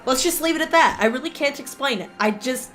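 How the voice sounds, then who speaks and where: A woman's voice: high-pitched, animated, fairly quick, fairly bright tone, soft background music. A woman giving casual, rambling, sarcastic commentary as she streams a game and reads its text aloud. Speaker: streamer, host.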